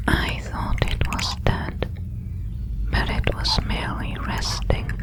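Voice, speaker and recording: A woman voicing a curious, lonely alien creature in an ASMR audio drama, in a breathy whisper with solemn, deliberate phrasing. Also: rough recording.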